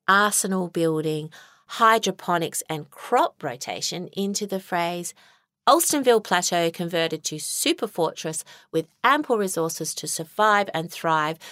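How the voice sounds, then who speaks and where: A woman playing an earnest, bureaucratic high school principal, speaking in a measured, formal deadpan for a comic audio story. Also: dry recording, studio quality. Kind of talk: acted comic monologue in an audio short story.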